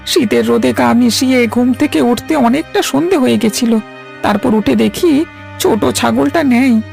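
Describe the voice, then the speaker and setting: A woman voicing a humble, anxious servant goatherd in a cartoon story, pleading and deferential in a gravelly voice. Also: fairly high pitch, normal speed, darkish tone, faint music behind. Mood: anxious, apologetic. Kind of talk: animated story acting.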